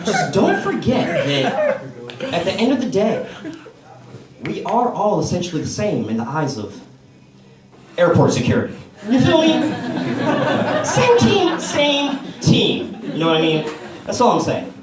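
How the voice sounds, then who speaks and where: A man's voice: high-pitched, wildly swooping pitch, a little slow. An American man doing a stand-up set at an open mic, telling stories in a laid-back way and playing to the crowd. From United States. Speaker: stand-up comedian. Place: comedy club stage with a live audience. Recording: roomy club sound from a distance, crowd laughter. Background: audience laughing.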